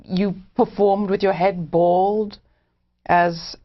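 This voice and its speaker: A woman's voice: medium pitch, fairly even intonation, slow. A woman hosting an interview, putting questions and comments to a guest in a conversational style. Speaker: interviewer and host. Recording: dull, lo-fi interview audio.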